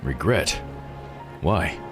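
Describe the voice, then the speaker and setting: A man's voice: a bass voice, expressive, extremely slow, heavily acted. A man voicing a polite, curious investigator in a visual novel, courteous and inquisitive. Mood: intrigued. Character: polite, curious investigator probing an old mystery. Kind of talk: reading visual novel dialogue aloud. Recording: music under the voice. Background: game music.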